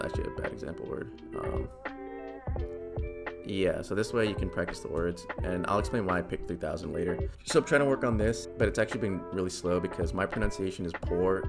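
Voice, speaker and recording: Fast-talking, low-voiced young American man. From United States. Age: young adult. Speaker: language-learning channel host. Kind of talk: casual explainer voice-over.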